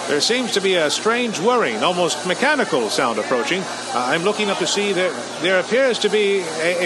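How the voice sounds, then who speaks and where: A man voicing a news reporter in a radio drama, who delivers breathless live-scene commentary. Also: high-pitched. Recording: radio drama with background hiss.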